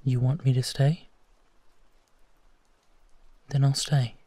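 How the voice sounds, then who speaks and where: A man playing a gentle, protective werewolf, speaking tenderly and soothingly in an intimate sleep-aid roleplay, with a soft, breathy voice. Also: medium pitch, little pitch movement, no room sound. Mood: tender reassurance. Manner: hushed, tender, unhurried reassurance with pauses. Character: gentle, protective male werewolf comforting a frightened companion.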